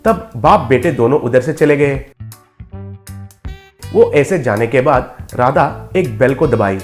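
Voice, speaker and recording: A man's voice: mid-range voice, animated, slow, faint music behind. A man reading scripted narration as the storyteller of a tale.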